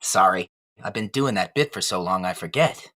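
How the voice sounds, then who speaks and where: A weary, aging ventriloquist's dummy in a comic audio drama. His pitch moves around widely, yet he stays meek and resigned, agreeing and echoing lines back in deadpan. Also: moderate pace. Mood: glum. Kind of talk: comic radio play acting.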